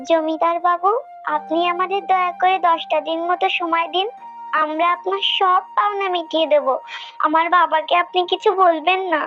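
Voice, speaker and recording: A woman's voice: very high-pitched, steady tone, normal speed, lo-fi sound, faint music behind. A woman voicing an earnest young village girl, a devoted and pleading daughter in a cartoon. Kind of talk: Bengali cartoon voice acting.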